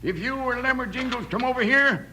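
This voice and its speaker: Mean little squire from an old radio western, high sharp voice, blustery threats, bit of hiss. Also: male voice, fairly quick, full character voice, rough recording. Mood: menacing. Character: angry small greedy squire, western villain. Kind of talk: 1950s radio western acting. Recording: bright hissy old radio recording.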